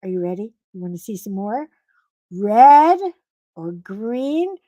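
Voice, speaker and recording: Animated woman live-streaming, slow and chatty. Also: no room sound, clean studio sound. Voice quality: animated storyteller, swooping pitch on greetings. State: froggy voice. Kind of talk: casual live chat with viewers.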